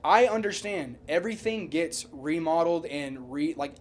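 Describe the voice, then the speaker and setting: A high-voiced man argues casually, as on a podcast, over faint background noise. He repeats himself and restarts sentences as he pushes his point, swearing freely and speaking with emphasis. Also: moderate pace. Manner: emphatic, repetitive, stumbling into restarts, frequent swearing. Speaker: podcast co-host. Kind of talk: casual argumentative chat about movies.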